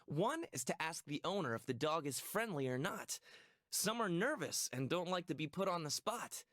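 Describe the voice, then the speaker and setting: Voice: mid-range voice, animated, normal speed, a bit bright, dry recording, studio quality, close to an everyday voice. A performer voicing a friendly cartoon child in a children's game, speaking earnest, instructive rhymes. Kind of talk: scripted rhyming children's game narration.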